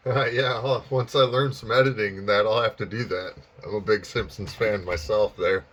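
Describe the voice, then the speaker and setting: Low-pitched folksy man chatting. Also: fairly even intonation, normal speed, fairly bright tone. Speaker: amateur radio operator and hobby video maker. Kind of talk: casual chat over ham radio.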